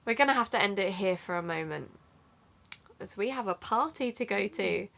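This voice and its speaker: A woman playing an amateur detective in an audio drama, speaking in a measured, matter-of-fact, conversational way. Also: mid-range voice, expressive, creaky, a bit bright.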